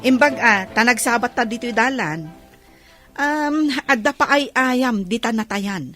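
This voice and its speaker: An actress playing a nosy, mocking Ilocano auntie who wheedles in a radio comedy drama. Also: female voice, sits high, a bit bright, heavily acted. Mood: wheedling. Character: nosy, scornful auntie who mooches money from relatives.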